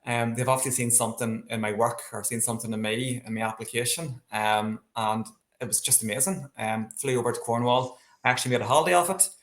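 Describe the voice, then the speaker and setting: A man's voice: medium pitch, fairly even intonation, quick pace, fairly bright tone. A man with a Northern Irish accent telling personal stories as an interview guest, chatty and enthusiastic. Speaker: interview guest, landscape painter. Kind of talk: casual interview, personal storytelling. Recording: echoey, roomy sound.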